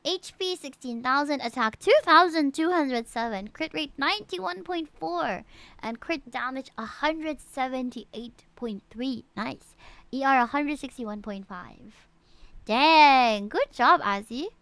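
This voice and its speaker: A woman live-streaming a game, chatting casually with viewers and reading out stats in an animated way, with frequent exclamations and asides. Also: high voice, slow pace, smooth, no echo. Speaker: live streamer reviewing players' game characters.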